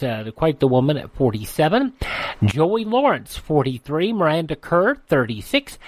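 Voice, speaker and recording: A man's voice: on the high side, dry recording. A man with an American accent presenting a radio show in a host's delivery.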